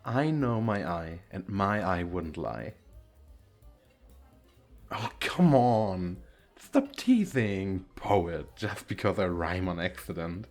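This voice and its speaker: Man acting a coy young femboy, effeminate lilt, very animated pitch, unhurried, teasing, ASMR roleplay, music behind. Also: exaggerated voice. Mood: flattering, playful.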